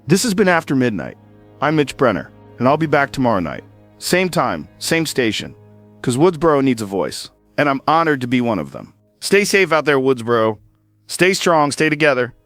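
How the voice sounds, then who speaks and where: An actor playing an earnest late-night radio host who speaks in a reflective, measured way. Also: male voice, medium pitch, sing-song, fairly bright tone, faint music behind. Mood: hopeful. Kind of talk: audio drama monologue.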